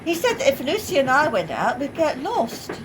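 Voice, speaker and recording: A put-upon British mother, played by a woman in a radio play, with a high, very lively voice. She scolds and grumbles bluntly in a resigned way over clear train noise. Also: moderate pace. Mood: weary grumbling. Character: put-upon, plain-spoken working-class mother of a young child.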